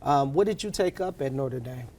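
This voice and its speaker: A man's voice: medium pitch, animated, moderate pace, darkish tone. A man hosting a business interview show, formally welcoming his guest and asking questions. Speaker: podcast host. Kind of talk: scripted introduction and interview questions.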